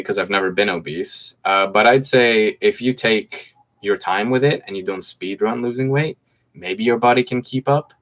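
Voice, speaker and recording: A man in his twenties from Greece, speaking with a slight American accent and a deep, low voice. He chats in a relaxed, easygoing way on a phone livestream at home, reading out comments and answering them one by one. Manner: laid-back, chatty, reads comments aloud and answers them. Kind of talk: casual livestream chat answering viewer comments. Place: room at home with mint green walls.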